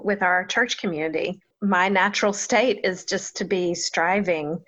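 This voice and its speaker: A woman's voice: medium pitch, fairly bright tone. Thoughtful woman pastor, slightly muffled recording. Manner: thoughtful, laughs at herself. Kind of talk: reflective interview answer.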